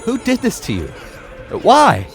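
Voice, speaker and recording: A man's voice: extremely high pitch, huge pitch swings, unhurried, soft background music, heavily acted. An actor playing a shocked, protective middle-aged father in an audio drama, agitated and stammering. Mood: upset, protective.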